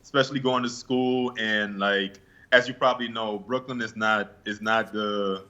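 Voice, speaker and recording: A young man from Guyana answering interview questions in a relaxed, laid-back way with hesitant fillers. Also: fairly low pitch, moderate pace, dull audio. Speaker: interview guest, bodybuilder.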